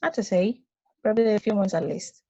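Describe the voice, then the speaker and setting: A woman playing a wry, weary, ancient space probe in a stage play table read, speaking in a dry, matter-of-fact way with a husky voice. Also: mid-range voice, a little fast, warm tone, no echo, natural voice. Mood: reassuring. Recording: dull, lo-fi close dry recording.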